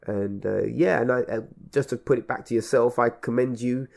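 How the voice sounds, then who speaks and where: A man hosting a radio conversation, speaking reflectively in measured, halting phrases and building on repeated words. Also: medium pitch, steady tone, vocal fry, darkish tone. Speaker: radio show host and event organiser.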